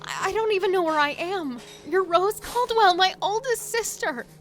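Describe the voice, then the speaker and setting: A woman's voice: very high voice, animated, moderate pace, fairly bright tone, soft background music. A woman playing a confused, insistent, frightened sister who pleads urgently in an audio horror drama. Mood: frightened, demanding.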